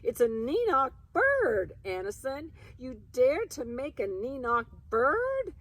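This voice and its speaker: A woman's voice: sits high, very animated, slow pace, pitched above the performer's own voice, exaggerated voice. A woman voicing a fussy, dramatic middle-aged aunt from a storybook, exclaiming and complaining. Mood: shrieking alarm.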